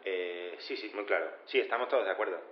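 Guy playing an awkward juror, low voice, halting, slightly muffled audio drama. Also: lightly acted. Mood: awkward, hesitant. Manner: hesitant, halting. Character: hesitant, awkward male jury spokesman.

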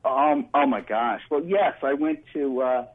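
A man chatting casually as an interview guest, halting and repeating words as he thinks. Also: medium pitch. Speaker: interview guest, political commentator. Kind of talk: casual spontaneous interview chat. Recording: muffled, band-limited remote line.

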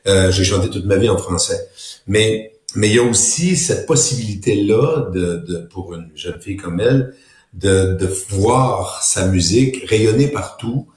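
Very deep male coach, halting and repeating himself, roomy echo. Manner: warm, halting, with repeated words. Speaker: singer and vocal coach. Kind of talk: casual interview.

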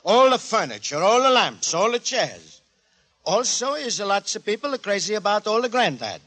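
Cheerful Italian immigrant, Italian accent, broken English, high pitch, swooping earnest delivery, old radio comedy. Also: male voice, very animated, normal speed, pushed and bright, heavily acted. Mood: earnest, proud. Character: naive, cheerful Italian immigrant antique dealer, earnest man. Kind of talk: 1940s radio comedy acting. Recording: 1940s radio recording, live studio audience.

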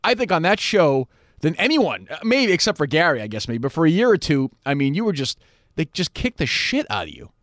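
A man's voice: fairly high pitch, sing-song, fairly quick, fairly bright tone, no room sound, clean studio sound. A man hosting a podcast, asking his guest conversational follow-up questions. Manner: probing, asks follow-ups. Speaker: podcast host. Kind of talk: conversational interview.

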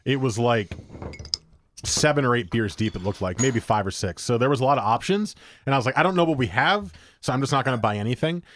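A man's voice: mid-range voice, lively intonation, fast, a bit bright, no room sound. Rambling man tasting beer, dry mic. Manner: rambling, self-correcting, joking. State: stuffy nose. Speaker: podcast co-host. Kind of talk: casual beer-tasting chat.

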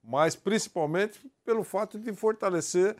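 A man commenting on football in a studio panel, measured and analytical, chiming in with agreement. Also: high voice. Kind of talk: studio sports talk.

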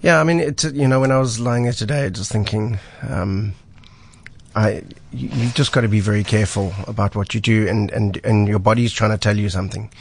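Mountain guide, man, low husky voice from a cold, relaxed, fills pauses with um. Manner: relaxed, frequent ums and repeated words. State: husky voice from illness. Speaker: mountain guide, weekly radio guest. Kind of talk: casual radio chat. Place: radio studio.

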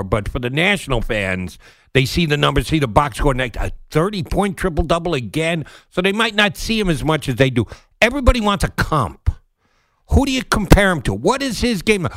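A man's voice: medium pitch, a bit bright, no echo. Older man hosting sports talk radio. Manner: informal, chummy banter with nicknames. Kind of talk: casual sports radio interview.

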